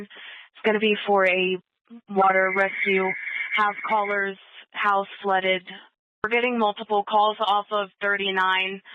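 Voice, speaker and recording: A woman's voice: medium pitch, highs cut off, background noise. An American woman working as an emergency dispatcher, speaking procedurally over two-way radio.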